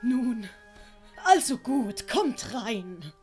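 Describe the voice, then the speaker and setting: A woman's voice: on the high side, sing-song, drawn-out words, darkish tone, soft background music, heavily acted. A woman voicing a kindly, pompous, learned older man in an audio drama. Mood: fatherly, solemn.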